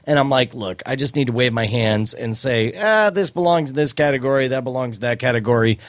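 A man's voice: mid-range voice, expressive, brisk, no room sound. A man chatting casually as a podcast co-host, joking with theatrical turns of phrase. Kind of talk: casual chat.

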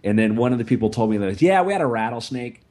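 A man with a raspy, hoarse voice, co-hosting a casual podcast chat and telling an animated story that he slows down for comic effect. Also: mid-range voice. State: hoarse from screaming. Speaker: podcast co-host.

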